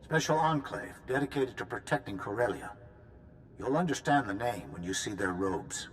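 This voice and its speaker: A man's voice: on the low side, sing-song, normal speed, slight background noise. A man playing a resolute general in a video game, giving an authoritative, businesslike military briefing. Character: resolute male general briefing troops for war.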